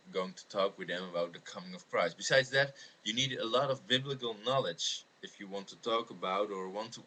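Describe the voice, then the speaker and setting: A man with a Dutch accent explains his views in a halting, earnest way, speaking unscripted into a webcam. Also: low-pitched, pushed and bright. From the Netherlands. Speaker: amateur video maker answering a viewer's question. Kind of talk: unscripted spoken video response on religion. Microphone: webcam microphone. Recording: noisy home webcam recording.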